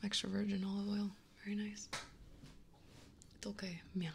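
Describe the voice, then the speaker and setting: A young woman streamer whispering softly and gently, chatting with viewers in an ASMR livestream. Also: mid-range voice, completely flat delivery, moderate pace, gravelly, a little hiss or hum. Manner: soft whispering, gentle and reassuring.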